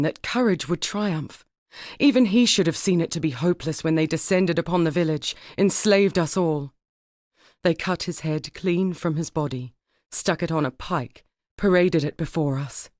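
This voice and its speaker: An actress as a solemn, low-voiced grieving woman survivor. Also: a little mellow, no echo, studio quality, lightly acted. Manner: measured, formal, wistful. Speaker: non-player character in a video game. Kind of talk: dramatic scripted game dialogue.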